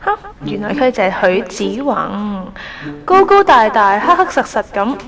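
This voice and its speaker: A woman with a Hong Kong accent playing a naive, expressive young trainee angel who narrates her own radio drama. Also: mid-range voice, a little slow, creaky, crowd noise. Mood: musing. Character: naive, eager young female trainee angel posing as photographer. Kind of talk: radio drama acting with first-person narration. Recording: music bed under the voice.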